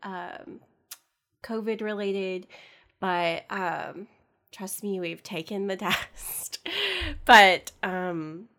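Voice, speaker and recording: A young woman hosting a casual solo podcast, chatty and rambling with lots of fillers and soft laughs, sounding congested from a cold. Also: mid-range voice, fairly even intonation, very slow, pauses often. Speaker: podcast host.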